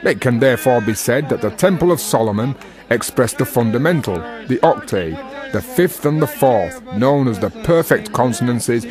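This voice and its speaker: An actor playing an earnest working-class Victorian Freemason in a radio drama, holding forth in high-flown, archaic, declamatory phrases. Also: male voice, lively intonation, moderate pace, close to an everyday voice. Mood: rapt. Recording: music under the voice.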